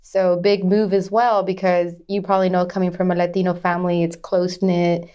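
A woman's voice: on the low side, flat delivery, brisk, dark tone, clear, resonant tone. A woman in her thirties from Arizona, telling her life story as an interview guest, chatty, laughing often and restarting phrases. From Arizona, USA.

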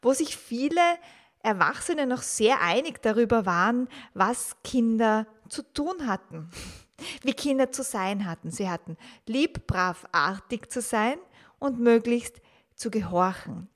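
Slow, calm woman podcaster in her forties. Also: a little high. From grew up in the 1980s and 1990s. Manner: calm, personal storytelling with rhetorical questions. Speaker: podcast host, teacher and mother. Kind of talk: reflective solo podcast talk.

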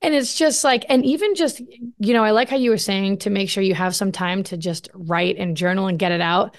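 A woman hosting a casual video-call conversation, chatty and enthusiastic, quick to gush in agreement. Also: medium pitch. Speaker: podcast host. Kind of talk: casual chat.

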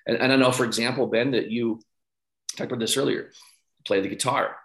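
A man hosting a podcast interview in a conversational coaching style, putting his questions slowly and haltingly with repeated words. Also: mid-range voice, fairly even intonation. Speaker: podcast host. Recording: roomy, echoing sound.

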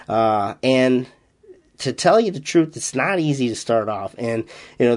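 A man with a light Massachusetts accent talks alone into a podcast mic, upbeat and easygoing. His voice sits at an ordinary middle pitch, and he wanders from point to point with plenty of "uh" and "you know" along the way. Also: darkish tone. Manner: upbeat, rambling, many "uh" fillers. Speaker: strength coach and podcast host. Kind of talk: casual solo podcast talk.

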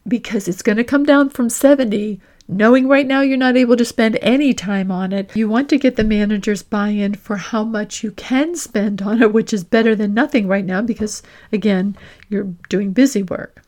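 A woman's voice: medium pitch, moderate pace, a little mellow. A woman working as a career coach and host, explaining directly to the listener in an emphatic, instructional way, with role-played examples.

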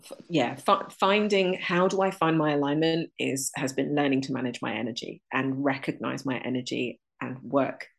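A woman's voice: a bass voice, gravelly. A woman, talking earnestly and unhurriedly as an interview guest. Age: 30s. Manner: earnest, unhurried, lists things in runs. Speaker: guest, energy alignment teacher. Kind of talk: reflective interview talk.

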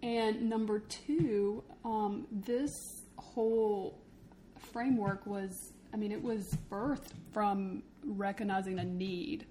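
A woman's voice: medium pitch, steady tone, takes it slowly, warm tone, rough recording. A woman speaking as a podcast co-host in casual, unscripted conversation. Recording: clear background noise, ambience.

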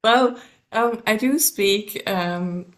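Swiss german lady on a podcast, takes her time, stops to find words, german's her first language. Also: medium pitch. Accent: Swiss German, with English learned in the US. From Switzerland. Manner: measured, pauses to search for words. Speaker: guest, subconscious coach and therapist. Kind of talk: casual podcast interview.

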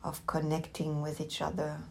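A woman teacher leading a guided meditation with gentle, unhurried instructions and long pauses. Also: low-pitched, completely flat delivery, vocal fry, a little hiss or hum.

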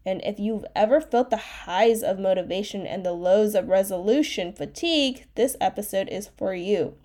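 A woman's voice: mid-range voice, moderate pace, mellow. A young woman hosting her own podcast, chatting casually and rambling, her voice nasal from a cold. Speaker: podcast host, life coach. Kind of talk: casual solo podcast chat.

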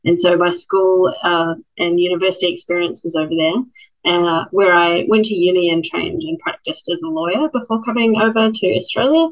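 A woman with a New Zealand accent answers interview questions in a thoughtful, measured way. Her voice sits on the low side, and the audio sounds muffled and lo-fi. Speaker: program participant, former lawyer.

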